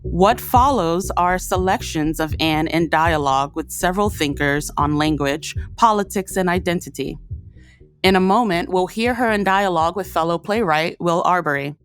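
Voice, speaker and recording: A woman's voice: fairly low pitch, moderate pace, clear, resonant tone, soft background music. A woman hosting an audio documentary with scripted narration.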